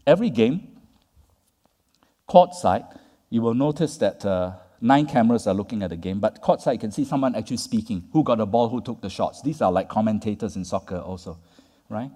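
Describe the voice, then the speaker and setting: A man's voice: fairly low pitch, lively intonation, brisk, mellow. A man giving a conference lecture, talking to the audience in a conversational way and tagging his points with "right?" and "yeah?". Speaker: conference speaker. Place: conference hall. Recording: long reverb of a large hall.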